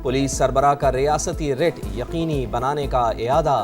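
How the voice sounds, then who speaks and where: A man's voice: medium pitch, moderate pace. A man with a Pakistani accent reading scripted news headlines as an anchor. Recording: loud music bed under voice. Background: news music.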